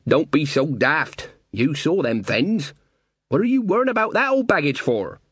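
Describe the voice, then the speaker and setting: A man's voice: fairly high pitch, very animated, moderate pace, fairly bright tone, slightly rough, no echo, pitched above the performer's own voice, exaggerated voice. A man voicing a blunt, scornful village man in a storybook, scolding in rustic grammar.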